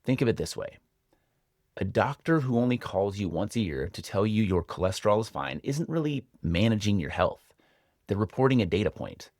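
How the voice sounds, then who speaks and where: An American man with a deep, low voice speaks as a financial advisor hosting his own show. He explains things in a measured, reflective manner, often posing questions to the viewer. The sound is dry, with very little room in it. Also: big pitch swings, darkish tone, no room sound. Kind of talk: explanatory advice talk to camera.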